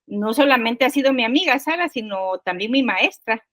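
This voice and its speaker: High-voiced older woman. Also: lively intonation, moderate pace, a bit bright, no echo, clean studio sound. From Guerrero, Mexico. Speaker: podcast guest, poet. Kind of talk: casual personal storytelling.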